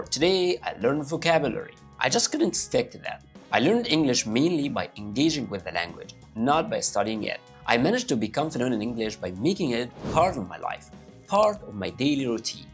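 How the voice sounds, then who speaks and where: A man from Egypt with an Egyptian Arabic accent, a native Arabic speaker, giving upbeat English-learning tips straight to his viewers. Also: medium pitch. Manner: upbeat and animated, addressing viewers directly. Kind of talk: talking to camera, motivational tips.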